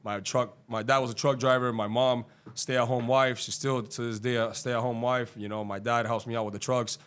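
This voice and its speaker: A man's voice: medium pitch, little pitch movement, fairly bright tone, smooth. A man from Cuba, a guest on a podcast, chatting casually about his career, swearing freely and rambling with many fillers. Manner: profane, rambling, talks fast with lots of fillers. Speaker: guest, day trader.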